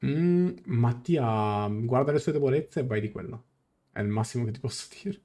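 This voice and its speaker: A man's voice: medium pitch, normal speed, mellow. A young man doing a live game reaction as a streamer, translating dialogue aloud. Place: small room indoors, on webcam. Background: game music.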